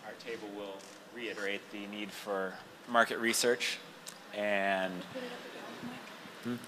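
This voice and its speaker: A man giving a measured readout at a meeting over a microphone, pausing between short phrases. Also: on the low side, level tone. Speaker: roundtable participant reporting back. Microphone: amplified meeting microphone. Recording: faint background room noise.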